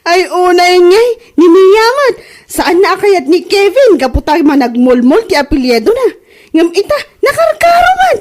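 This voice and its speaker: Actress doing nosy ilocano cousin in a comedy drama, really high whiny voice, swoops up and down. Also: female voice, big pitch swings, moderate pace, darkish tone. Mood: mocking disbelief. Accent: Ilocano Filipino. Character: nosy, excitable young cousin and niece.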